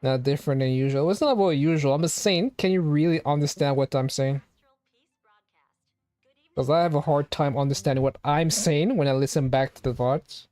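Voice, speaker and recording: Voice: medium pitch, moderate pace, darkish tone, dry recording, soft background music. A live streamer chatting casually to viewers, rambling and firing off questions to chat. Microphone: home streaming microphone.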